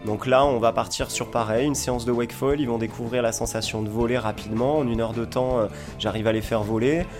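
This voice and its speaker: A man from southern France giving an informal interview as a sports instructor, explaining with enthusiasm and frequent pauses. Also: medium pitch, steady tone, over music. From Montpellier, southern France. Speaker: foil school owner and instructor, interview guest.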